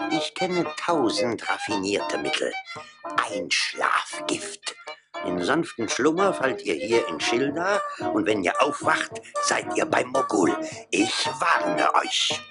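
Stern scheming envoy, radio play. Slow, solemn, menacing, wildly swooping pitch, loud music behind. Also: male voice, huge pitch swings, heavily acted. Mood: sly, menacing. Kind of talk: theatrical German radio play acting.

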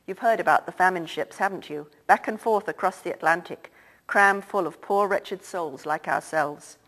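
A woman's voice: lowish voice, moderate pace, fairly bright tone, rough recording. A woman reading the part of an older, wealthy Irish-born theatre man who talks in a confiding, lilting way. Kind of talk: audiobook character reading.

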